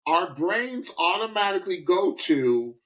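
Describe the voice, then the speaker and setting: Animated man hosting a podcast. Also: high-pitched, measured pace, rough recording. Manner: animated, emphatic, informal, repeats words for emphasis. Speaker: podcast host. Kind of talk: casual reaction podcast talk, giving opinions.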